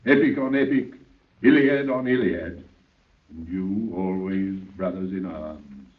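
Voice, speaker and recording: A man's voice: sits low, a little slow. An actor playing a vast godlike man, solemn and serene, with grave, stately delivery and a cavernous echo in 1930s radio drama style. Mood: solemn, grand. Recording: echoing, muffled, tinny 1930s radio recording.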